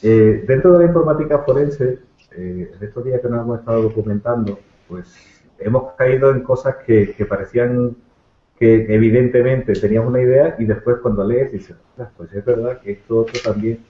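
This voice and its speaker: A man's voice: medium pitch, normal speed. A man hosting an online panel, introducing guests in a hesitant way and often restarting his phrases. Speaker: host, moderator. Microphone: video call. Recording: tinny echoey phone-like line.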